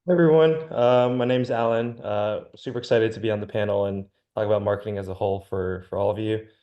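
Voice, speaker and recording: A man's voice: fairly low pitch, fairly even intonation, fast talker, dull audio. A young man from New Jersey introducing himself as a student panelist. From New Jersey, United States. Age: young adult.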